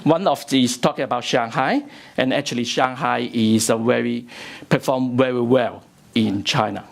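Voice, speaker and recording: A man from Hong Kong, a native Cantonese speaker, interpreting into English in a lecture room, with halting phrasing and many fillers. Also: medium pitch, vocal fry. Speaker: interpreter and academic.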